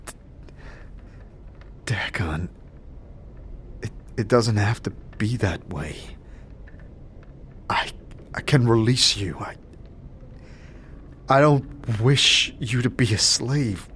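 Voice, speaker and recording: A man's voice: fairly low pitch, slow pace, long pauses, a bit bright, slight background noise. A man voicing a troubled immortal adventurer in an audio drama, earnest and urgent, stumbling over his pleas. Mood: pleading. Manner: urgent, stammering, pleading.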